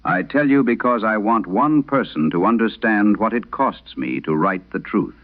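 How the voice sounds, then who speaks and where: Man acting aging exorcist priest, Irish, very low voice, solemn horror radio monologue, haunted, close dry sound, muffled lo-fi. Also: expressive, dry recording, close to an everyday voice. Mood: grave, confessional. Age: older. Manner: solemn, measured, confessional storytelling. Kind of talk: dramatic first-person horror radio monologue. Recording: dull lo-fi vintage radio sound.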